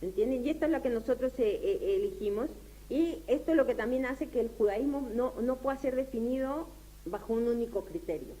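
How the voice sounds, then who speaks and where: A woman's voice: lively intonation, dark tone, rough recording. A woman lecturing informally, often repeating herself and checking that her listeners follow. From Latin America. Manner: halting, repetitive, checks that listeners understand. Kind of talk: informal lecture with audience questions. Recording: clear background noise, low hum.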